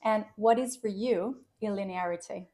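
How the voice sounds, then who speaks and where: A woman's voice: mid-range voice, a little slow, mellow. A woman with an Italian accent, a native Italian speaker, hosting a podcast interview in a chatty, loose way with frequent restarts. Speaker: podcast host. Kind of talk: casual interview chat.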